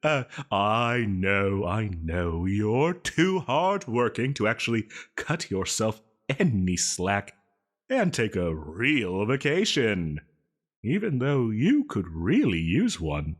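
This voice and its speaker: A theatrical, lovestruck male supervillain, in an audio drama. He is needy, possessive and self-pitying, drawing words out slowly while his pitch swoops dramatically. Giggles and stammers break up his melodrama. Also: big pitch swings, heavily acted. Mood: nervous, eager. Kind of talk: scripted roleplay audio drama.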